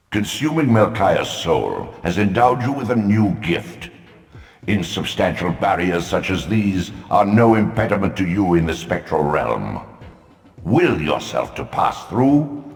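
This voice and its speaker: An ancient, commanding god booms in an extremely deep voice that swoops with stately weight. The video game delivery is gravelly and portentous, in cavernous reverb. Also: male voice, full character voice. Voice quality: booming, gravelly. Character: ancient male elder god, solemn, commanding, all-knowing. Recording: cavernous echoing reverb, loud music behind.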